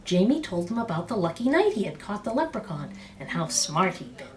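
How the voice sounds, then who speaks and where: Animated woman reading a story aloud. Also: medium pitch, brisk. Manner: dramatic, emphatic phrasing. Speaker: storyteller reading a picture book. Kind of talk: expressive read-aloud story narration.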